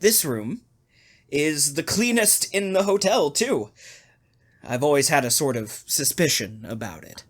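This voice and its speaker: An actor playing a deranged, obsessive hotel keeper in a radio thriller, halting and softly insinuating with mock concern. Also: male voice, a little high, lively intonation, husky. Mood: quietly menacing. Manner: halting, softly insinuating, wide emotional swings. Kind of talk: old-time radio thriller acting.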